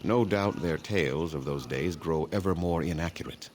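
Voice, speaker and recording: A man performs a deep-voiced elven keeper of ancient lore for a fantasy game. He is weary and haughty, choosing formal words with measured gravity. Clear background ambience sits behind the voice. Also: darkish tone, slight background noise, natural voice. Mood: grave. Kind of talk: fantasy video game dialogue acting.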